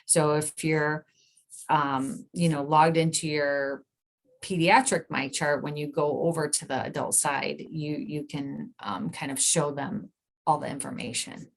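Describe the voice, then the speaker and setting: Low, creaky-voiced woman giving an informal presentation. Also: steady tone, unhurried. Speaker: nurse practitioner giving a presentation. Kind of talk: informal explanatory talk with slides.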